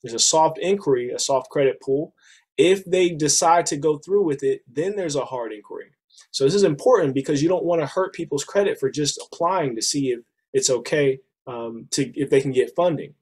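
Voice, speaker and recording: A man's voice: fairly high pitch, moderate pace, darkish tone, husky. Casual persuasive man on a video call. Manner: casual, persuasive, addresses listeners as y'all. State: hoarse. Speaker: business funding presenter. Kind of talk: screen-share sales walkthrough. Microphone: webcam on a video call.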